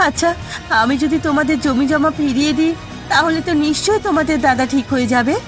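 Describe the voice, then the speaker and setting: A woman's voice: high voice, quick pace, over music, close to an everyday voice. A woman voicing an anxious, remorseful village wife in a cartoon, plaintive and melodramatic. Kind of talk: cartoon voice acting.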